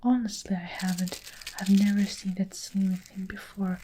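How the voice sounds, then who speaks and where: A woman's voice: medium pitch, little pitch movement, normal speed, warm tone, a little hiss or hum, lightly acted. A woman whispering the lines of a small girl pleading her innocence. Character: small clever girl, wrongly accused.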